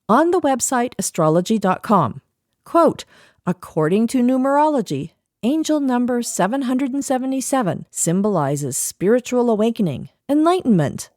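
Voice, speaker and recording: Lively woman narrating, close and dry. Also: medium pitch, no echo. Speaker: podcast segment presenter. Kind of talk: scripted narration with wry asides.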